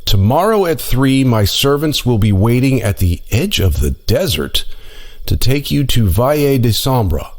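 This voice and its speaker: A man's voice: mid-range voice, sing-song, unhurried, darkish tone. A man playing an eager, polite, wide-eyed traveler, speaking earnestly in an audio drama. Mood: tired, pleased.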